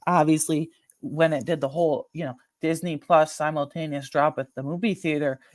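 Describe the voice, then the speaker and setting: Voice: mid-range voice, steady tone, moderate pace, no room sound, clean studio sound. A video host giving casual, rambling film commentary to viewers, emphatic and slangy with frequent asides.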